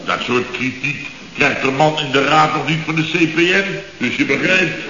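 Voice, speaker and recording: A blustering, sarcastic company boss in an old radio comedy. His voice is forceful and a little high, grumbling indignantly through muddled sayings. The recording is dull, with long echoing reverb. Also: normal speed, a little hiss or hum. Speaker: actor in a radio comedy serial.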